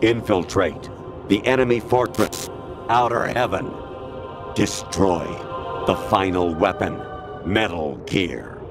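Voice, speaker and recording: A man's voice: deep voice, takes it slowly. An actor playing a stern older male military colonel in a video game, commanding and formal but eerily erratic. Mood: briefing. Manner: commanding, clipped, formal, sliding into rambling non sequiturs. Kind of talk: dramatic video game voice acting. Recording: music behind the voice. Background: loud music.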